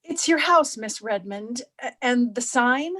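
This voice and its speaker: A woman's voice: a little high, animated, measured pace, fairly bright tone. A woman playing a naive, anxious suburban housewife, hesitant and stammering, in a staged play reading over a video call.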